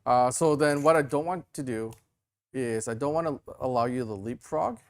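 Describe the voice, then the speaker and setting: A man's voice: medium pitch, moderate pace. A man playing a tabletop wargame, casually talking through his moves and thinking aloud. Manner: thinks aloud with many fillers and restarts.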